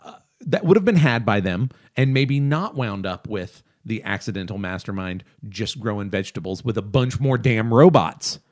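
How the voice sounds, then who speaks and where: A man's voice: lowish voice, expressive, moderate pace, a little mellow. A man co-hosting a podcast, casually discussing and explaining his opinions in a review style. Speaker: podcast co-host.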